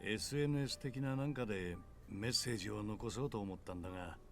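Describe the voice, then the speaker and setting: A man's voice: on the low side, very fast, creaky, over music. An actor playing a casual, easygoing grandfather reading out his letter in a visual novel game. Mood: easygoing, wry.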